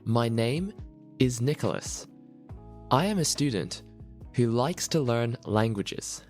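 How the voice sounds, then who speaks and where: A man hosting a podcast for English learners, speaking in careful, clearly scripted narration. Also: mid-range voice, expressive, a little mellow, soft background music. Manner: careful, deliberate, explanatory. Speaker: podcast host, language teacher.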